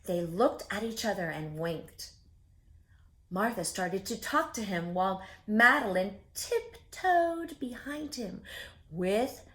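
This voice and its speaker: A woman reading a picture book aloud for preschoolers, expressive and speaking directly to her young listeners. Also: fairly high pitch. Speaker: children's story reader.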